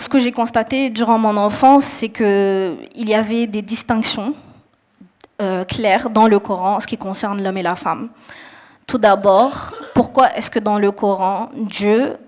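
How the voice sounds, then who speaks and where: A woman's voice: medium pitch, darkish tone. A young woman from Mali and Niger, raised in Saudi Arabia, answering a panel question in an earnest, explanatory way with frequent hesitations and restarts.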